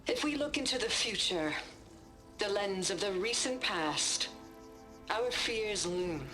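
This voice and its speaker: A solemn stateswoman speaking very slowly. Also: medium pitch, lively intonation, sharp tone, soft background music. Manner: measured, solemn oratory. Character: earnest female statesperson giving a hopeful speech. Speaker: leader addressing a nation. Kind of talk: recorded public address.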